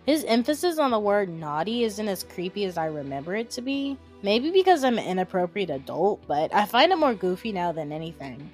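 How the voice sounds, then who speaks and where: A woman's voice: medium pitch, very animated, fairly quick, hardly any pauses, darkish tone. A young adult woman narrating an informal, opinionated video commentary with emphatic asides. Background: background music.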